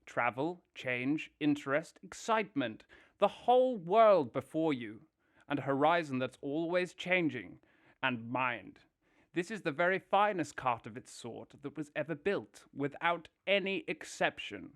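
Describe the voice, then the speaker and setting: A man voicing a boastful, excitable male toad who rattles off lists like a salesman in a fan audio drama. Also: fairly high pitch, a little slow.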